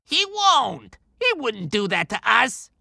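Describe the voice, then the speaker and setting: An actor playing an excitable, stubborn, wisecracking sidekick of Santa's in an audiobook drama, with a creaky, bright voice. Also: medium pitch, takes it slowly, dry recording, studio quality, heavily acted. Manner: sarcastic, animated, mouthy. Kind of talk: cinematic audiobook character acting.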